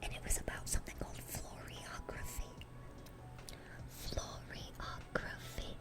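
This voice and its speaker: A woman's voice: unhurried, close to an everyday voice. A woman playing a dorky, sweet-natured young demon girl in an ASMR roleplay, whispering in a rambling, chatty, earnest way. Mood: sweet, excited. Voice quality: breathy, unvoiced whisper.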